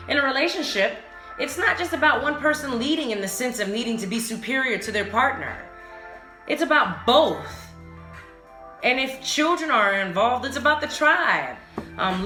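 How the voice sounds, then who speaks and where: A woman's voice: medium pitch, sharp tone. A woman hosting a casual solo podcast, speaking candidly and emphatically in slang, with frequent swearing. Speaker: podcast host. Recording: roomy echo, music behind the voice. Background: music.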